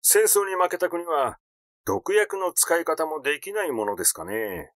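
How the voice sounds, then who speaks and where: Man voicing an evasive, formal physician, lively intonation. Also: fairly high pitch, normal speed, no room sound, studio quality, pitched above the performer's own voice. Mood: evasive, defensive. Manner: formal, dismissive. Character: cautious, evasive male physician, defensive and formal.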